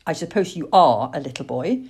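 A woman's voice: low voice, expressive, brisk, a little mellow, husky, heavily acted. Woman putting on a fussy, genteel old lady. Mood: prim, chiding. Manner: genteel, prim, chiding. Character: kindly, fussy, short-sighted old lady.

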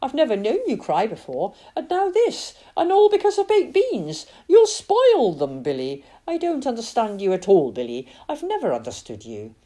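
A woman's voice: fairly high pitch, wildly swooping pitch, moderate pace, hardly any pauses, warm tone, pitched above the performer's own voice. A woman voicing a gossipy, nagging middle-aged aunt who chatters on about hearsay and scolds a boy at the supper table. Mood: scolding.

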